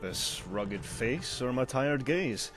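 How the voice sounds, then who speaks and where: A man's voice: medium pitch, lively intonation, slow. An actor playing a weary male traveling merchant with a dry, sardonic way of talking, heard from a game. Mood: wry. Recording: game audio over loud music.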